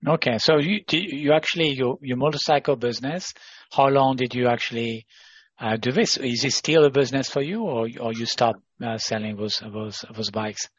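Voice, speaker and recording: A man's voice: mid-range voice, normal speed, husky, dry recording. A man hosting a podcast interview, asking questions hesitantly and leaning heavily on filler phrases. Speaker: interview host. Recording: dull lo-fi sound.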